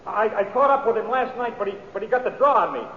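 An actor playing a frightened cowboy hostage in an old radio western, stammering and pleading. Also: male voice, sits high, expressive, fast, bright, forceful tone, heavily acted. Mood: scared, pleading. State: scared. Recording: echoey cave, tinny vintage radio, faint noise.

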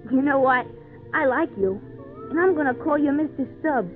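A woman's voice: sits high, moderate pace, soft background music, close to an everyday voice. A woman playing an earnest, polite, folksy little boy in an old-time radio drama. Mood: friendly, wondering. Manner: folksy, wide-eyed, hesitant. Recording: tinny band-limited 1940s radio recording.

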